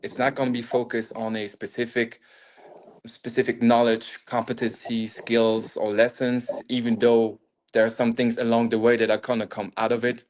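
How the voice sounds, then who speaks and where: A man's voice: medium pitch, completely flat delivery, moderate pace, darkish tone, low-quality recording. A man hosting a podcast and talking to his guest on a phone, enthusiastic and admiring, and he laughs easily. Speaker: podcast host. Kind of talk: casual podcast interview.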